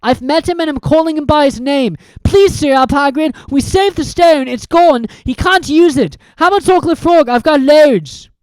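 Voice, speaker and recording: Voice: high voice, normal speed, few pauses, darkish tone, no echo, voice pushed up, natural voice, low-quality recording. A reader voicing a thoughtful, brave young schoolboy who speaks earnestly. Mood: urgent.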